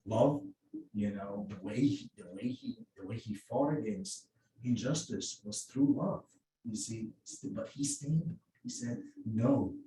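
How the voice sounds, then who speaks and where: A middle-aged man from Egypt speaking on a panel in English, with many halting restarts and fillers. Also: mid-range voice, dark tone. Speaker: mosque outreach volunteer. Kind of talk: panel discussion. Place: event room. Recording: roomy distant sound with faint noise.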